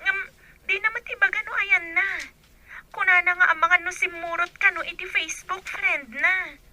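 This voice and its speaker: A woman's voice: very high voice, fairly even intonation, normal speed, noisy background. An actress in an Ilocano radio drama playing a worried, urgent young woman with an Ilocano accent. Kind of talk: Filipino radio drama acting.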